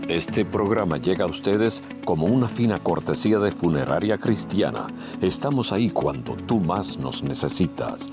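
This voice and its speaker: Deep guy reading sponsor credits, lively intonation, music underneath. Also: moderate pace. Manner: announcer delivery. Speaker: sponsor announcer. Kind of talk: read sponsor announcement.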